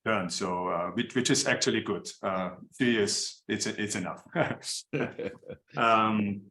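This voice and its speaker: A man with an Austrian accent introducing himself as chair on a video call, speaking in a halting way with many fillers. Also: on the low side, fairly bright tone, reverberant. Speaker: chair of the association.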